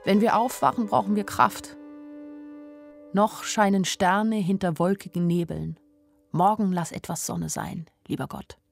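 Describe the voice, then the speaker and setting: A young woman on the run, frightened yet loving, narrates a radio play from inside her head. Her mid-pitched voice speaks in short, simple, intense sentences, with faint music behind. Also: steady tone, unhurried, gravelly. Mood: tender, hopeful. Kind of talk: radio drama, first-person inner narration.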